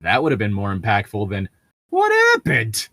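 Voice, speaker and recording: A man's voice: on the low side, wildly swooping pitch, moderate pace, bright, forceful tone, no room sound, studio quality. A man chatting casually with friends and reading amateur fiction aloud in a sweary, exasperated way. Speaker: podcast host reading fan fiction with friends.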